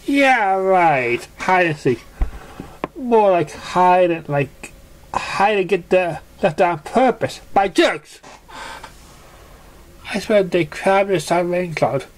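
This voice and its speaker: A storybook reader voicing an excitable, insecure female pegasus pony with a dramatic, exclamatory, grumbling manner. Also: medium pitch, slow, pauses often, slight background noise, voice pushed up. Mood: bitter frustration.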